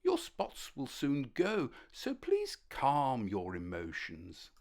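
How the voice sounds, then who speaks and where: A woman's voice: a bass voice, huge pitch swings, slow pace, full character voice. Woman doing a kind, soothing ant doctor. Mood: reassuring. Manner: gentle, reassuring bedside manner. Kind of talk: storybook character voice.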